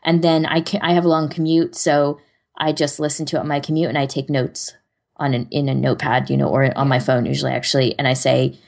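A woman with a notably low-pitched voice talks casually as a podcast co-host. She explains things step by step in an informal, conversational way, drifting into loose asides. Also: little pitch movement, fairly quick, creaky, darkish tone. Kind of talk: casual chat.

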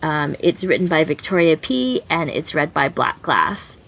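A woman's voice: deep voice, sing-song, unhurried, creaky, fairly bright tone, slightly rough. A woman podcast co-host chatting casually and informally as she gives recommendations, often breaking off and rephrasing. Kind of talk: casual chat, giving recommendations.

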